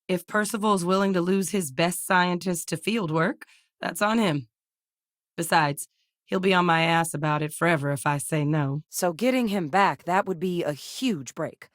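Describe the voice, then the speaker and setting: A battle-hardened female veteran turned scientist from a sci-fi video game, acted by a woman. Her voice sits a little low and is captured close and dry. She talks in a clipped, no-nonsense way, matter-of-fact and used to giving orders. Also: moderate pace, no room sound, lightly acted. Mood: composed, matter-of-fact. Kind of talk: scripted video game character dialogue.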